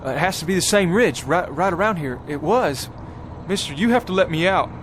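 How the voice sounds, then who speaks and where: An actor in a radio drama playing an earnest, bewildered pioneer husband with a halting, stammering delivery. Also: male voice, high-pitched, lively intonation, a little hiss or hum. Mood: bewildered, uneasy.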